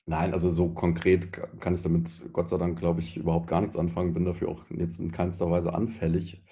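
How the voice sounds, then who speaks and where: A man in his thirties answering as a panel guest, thoughtful and halting with many fillers. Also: low voice, completely flat delivery, warm tone. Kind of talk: discussion answer.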